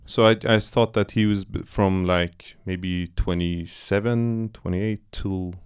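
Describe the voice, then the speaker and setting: A man's voice: deep voice, fairly even intonation, slow pace, clear, resonant tone. A man from Iran, a film director, talking loosely in a post-screening Q&A, searching for words with many fillers and restarts. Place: cinema auditorium.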